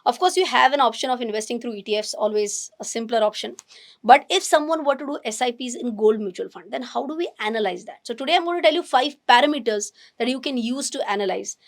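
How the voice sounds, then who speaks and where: A woman with an Indian English accent, hoarse from nearly losing her voice, explaining gold investing to camera in an animated, emphatic way. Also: on the high side, fast talker. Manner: animated, emphatic, thinks aloud with restarts. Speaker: finance educator.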